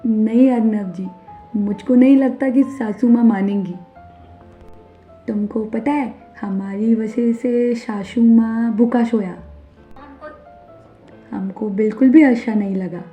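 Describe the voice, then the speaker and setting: A woman voicing a meek young Nepali daughter-in-law in an animated story, speaking slow, hesitant broken Hindi with a Nepali accent. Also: on the high side. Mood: sad, worried. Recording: narrow phone-like sound over music.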